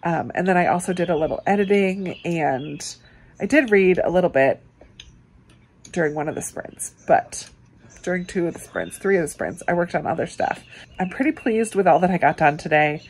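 A woman's voice: on the low side, normal speed, slight background noise. A woman vlogger chatting casually and informally to camera about her day, in an easygoing way. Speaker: book vlogger, host. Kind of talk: casual weekend vlog chat to camera.

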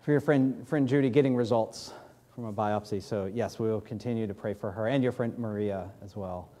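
Pastor, 30s man, New England accent, mellow tone, many ums, pulpit mic in big sanctuary. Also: on the low side. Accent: New England American. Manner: halting, many fillers, friendly. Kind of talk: church announcements and prayer. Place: large church sanctuary, in doors. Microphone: podium mic.

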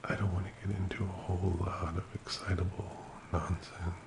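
Man, soft-spoken ASMR, deep flat murmur, half-whispered, unhurried, soothing, close mic, steady rain-like hiss behind. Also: creaky. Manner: hushed, often slipping into whisper, unhurried and soothing. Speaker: ASMR host. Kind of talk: soft-spoken ASMR personal attention, slow guided relaxation.

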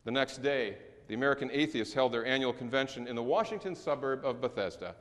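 A middle-aged male pastor preaching a sermon in a large church sanctuary, with measured delivery, slow emphatic stresses and falling endings. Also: mid-range voice, lively intonation. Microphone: distant camera recording in the sanctuary. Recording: long church reverb.

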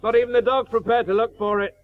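Older brother, radio drama: genial, needling, slightly dull broadcast sound. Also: male voice, very high-pitched, steady tone, fast. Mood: teasing, genial. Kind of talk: 1980s radio play acting.

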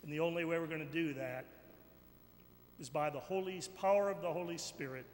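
A middle-aged or older man preaching a sermon from a church pulpit in a deliberate, declamatory manner, repeating phrases for emphasis. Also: on the high side. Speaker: bishop preaching. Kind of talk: sermon, slow and emphatic with pauses. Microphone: pulpit microphone. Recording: echoey church hall, background noise.

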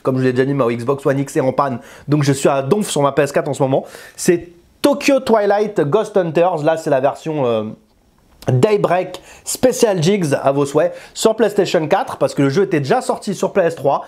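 A man's voice: fairly high pitch, expressive, normal speed, darkish tone. A man, talking casually and informally to camera as a video game reviewer, using slang. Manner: informal, slangy, chatty, gesturing. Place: small indoor room with bookshelves.

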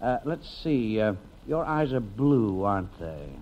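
A man's voice: fairly low pitch, sing-song, measured pace. A man playing a courteous, eager-to-please menswear salesman in 1950s American radio comedy. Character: polite, obliging menswear salesman. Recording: muffled 1950s radio recording, faint noise.

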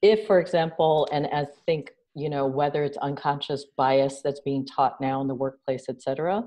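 A middle-aged woman from New City, a guest on a video call, telling stories in a lively, animated way. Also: deep voice, normal speed. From New York City. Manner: animated storyteller, gestures a lot, laughs. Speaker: guest, social entrepreneur. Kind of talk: casual interview chat. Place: home room indoors. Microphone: video call webcam.

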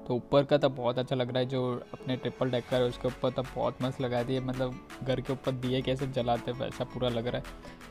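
A man's voice: mid-range voice, completely flat delivery, moderate pace, over music. A man with an Indian accent giving casual, informal commentary on a video game, talking directly to his viewers. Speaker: gaming video creator.

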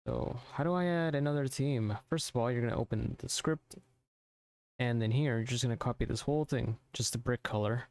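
A man narrating a software tutorial informally, thinking aloud step by step into a close mic. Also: medium pitch, fairly quick, vocal fry, no echo. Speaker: tutorial presenter.

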